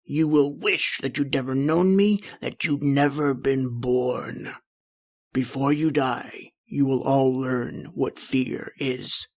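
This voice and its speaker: A man's voice: mid-range voice, steady tone, slow. A man playing a bitter, imperious, dying old uncle in an old-time radio horror play, full of menace. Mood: menacing.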